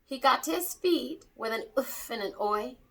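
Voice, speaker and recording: A woman reads a children's story aloud in rhyme. Her voice is fairly high and bright, and her pitch moves up and down a great deal as she tells it expressively. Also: expressive, moderate pace.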